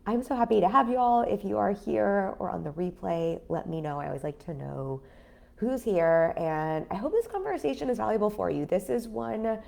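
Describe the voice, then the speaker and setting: A woman in her thirties talking casually on a livestream as a business coach, her voice raspy after an illness, chatty with many fillers. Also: mid-range voice, lively intonation, normal speed, a little mellow. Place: home in a city apartment.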